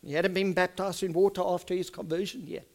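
Afrikaans-accented man, middle-aged pastor, high tenor, earnest sermon, drops soft then rises loud. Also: flat delivery, a little fast. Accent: South African Afrikaans. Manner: earnest, swings from soft and hushed to loud and emphatic. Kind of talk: sermon with personal storytelling.

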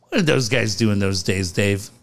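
A middle-aged man co-hosting a casual podcast, telling profane, animated stories. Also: lowish voice, normal speed. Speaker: podcast co-host.

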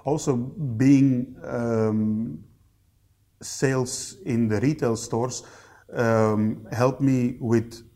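A man in his thirties with a Belgian accent, answering questions as a podcast guest in a conversational, somewhat halting way. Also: medium pitch, steady tone, warm tone. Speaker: interview guest, company general manager.

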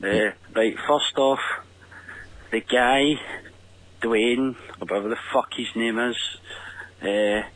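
A man with a Scottish accent telling an anecdote as a caller, in a gruff, sweary, deliberate manner. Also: medium pitch, fairly even intonation, fairly bright tone, rough recording. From Scotland. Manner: gruff, profane, deliberately slow. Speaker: caller leaving a voice message.

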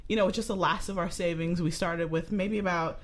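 A woman's voice: lowish voice, fairly even intonation, fairly quick. A woman speaking as a business-owner guest in a casual interview, informal and full of anecdote.

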